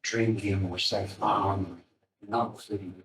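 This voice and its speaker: An Irish man speaking in a halting, repetitive way during an informal discussion from the audience. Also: low-pitched, steady tone, crowd noise. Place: indoor event. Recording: echoey, distant mic, audience noise.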